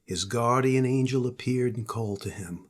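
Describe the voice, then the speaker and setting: An older man, a grandfather, reading a children's storybook aloud in a husky voice. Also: medium pitch, moderate pace.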